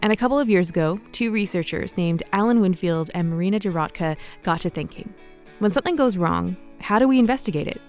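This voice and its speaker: A woman's voice: fairly low pitch, fast talker, a little mellow, faint music behind. A woman presenting a podcast, reading scripted narration as its host.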